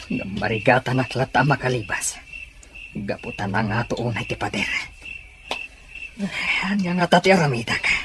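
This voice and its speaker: A man's voice: mid-range voice, lightly acted. A man with a Filipino accent playing a trapped, anxious captive in a radio drama. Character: trapped, anxious male captive desperate to escape. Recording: music bed behind the voice.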